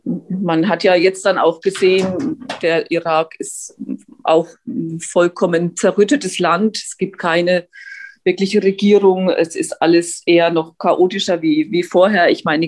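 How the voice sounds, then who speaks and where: Woman thinking aloud, halting interview delivery. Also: on the low side, a little mellow. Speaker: eyewitness interviewee. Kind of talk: spontaneous reflective interview recollection.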